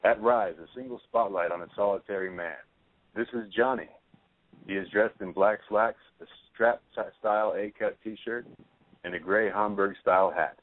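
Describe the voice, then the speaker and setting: A man with a fairly low voice reads stage directions as scripted narration. The audio is narrow and tinny, like a phone line. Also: moderate pace.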